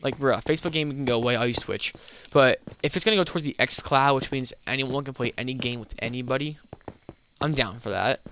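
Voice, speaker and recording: A man's voice: medium pitch, a little fast, sharp tone, slight background noise. A young man streaming a game and chatting casually, stumbling over words, slurring a little, with a slight lisp. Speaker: gaming YouTuber and streamer. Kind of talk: casual gameplay chat.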